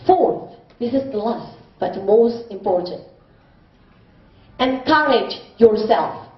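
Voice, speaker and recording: A woman's voice: a little high, expressive, slow. A teenage girl from Indonesia with an Indonesian accent, giving an earnest, emphatic prepared speech in a competition and then answering a judge's questions. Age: teens. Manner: earnest, emphatic, rising on key points. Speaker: student speech contestant. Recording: echoey hall, distant mic, dull sound.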